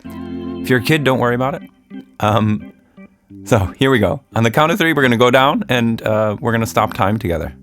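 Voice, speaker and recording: A man's voice: mid-range voice, animated, a little fast, fairly bright tone, soft background music. A middle-aged man hosting and telling a children's story in a playful, conversational manner. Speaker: podcast host and storyteller.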